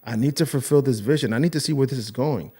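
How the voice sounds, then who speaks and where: A man's voice: mid-range voice, fast talker, warm tone, husky. A young man hosting a podcast, speaking earnestly and emotionally in a rambling casual chat with many restarts. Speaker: podcast host.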